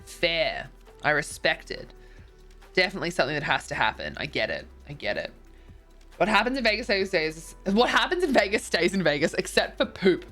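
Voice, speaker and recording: A woman's voice: on the low side, sing-song, brisk, fairly bright tone. Woman streamer, tired and stuffy-nosed, chatty and rambling, faint music underneath. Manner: chatty, rambling, many ums and likes. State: congested. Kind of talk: casual chat reading viewer messages.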